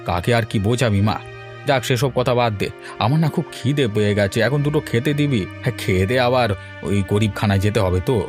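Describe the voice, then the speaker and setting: A snobbish, grumbling middle-aged father played by a man in a cartoon tale. He talks fast and brusquely, sneering at poverty over clearly heard music. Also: mid-range voice, natural voice. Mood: matter-of-fact. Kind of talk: Bengali cartoon voice acting.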